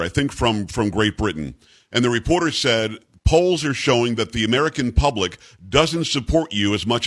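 A man's voice: mid-range voice, fairly bright tone. A man hosting a talk radio show, conversational and opinionated while interviewing a guest.

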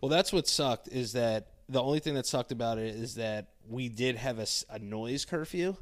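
A young man chats casually as a podcast co-host. His voice sits at a middling pitch, and the recording is dry and close, with no room sound. He rambles through stories, swears freely and acts out what other people said. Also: moderate pace, no echo. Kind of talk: casual chat.

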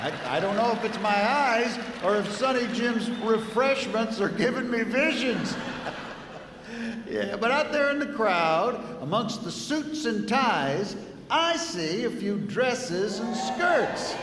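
An actor playing a short, goofy, reluctant Vice President in a comic audio drama, rambling and pleading. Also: male voice, sits high, animated, slow pace, background noise. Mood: jovial, cocky. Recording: echoey hall with music behind.

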